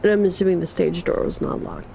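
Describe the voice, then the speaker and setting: A woman's voice: on the low side, fairly even intonation, quick pace, vocal fry, mellow, slight background noise. A woman playing a tabletop roleplaying game, chatting casually in and out of character.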